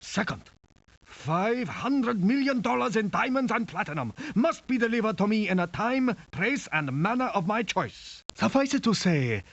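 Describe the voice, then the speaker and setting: A man's voice: high voice, sing-song, normal speed, full character voice. A man playing a cold, grandiose cult crime lord in a video game, formal and threatening in a theatrical way. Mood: grandiose menace. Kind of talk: villain dialogue in a video game. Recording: dull, lo-fi compressed game audio.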